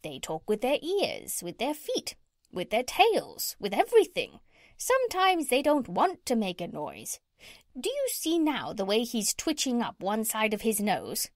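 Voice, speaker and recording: A woman's voice: on the high side, huge pitch swings, a little slow, no room sound, studio quality, full character voice. A woman voicing a proud, bossy female parrot in a children's storybook, speaking in an emphatic, instructive way. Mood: eager, instructive. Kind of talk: children's storybook character reading.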